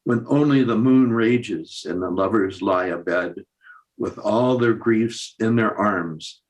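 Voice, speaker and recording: Slow, lowish-voiced older man reciting poetry. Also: rough recording. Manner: deliberate, pausing between short phrases. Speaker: poetry reader.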